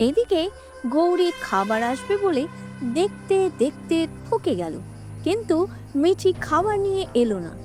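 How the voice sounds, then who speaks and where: A woman's voice: extremely high pitch, very animated, a little slow. A woman narrating a scripted fairy tale for children. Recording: music under the voice.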